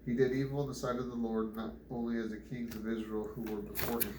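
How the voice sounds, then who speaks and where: A man reads scripture aloud in a halting way, stumbling over words and repeating them. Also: medium pitch, little pitch movement. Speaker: Bible reader. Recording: echoey room with clear background noise.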